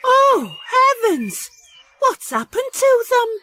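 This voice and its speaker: A man voicing a flustered middle-aged farm woman in a storybook reading, shrill and fretful. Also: wildly swooping pitch, unhurried, fairly bright tone, slight background noise, exaggerated voice. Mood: shocked.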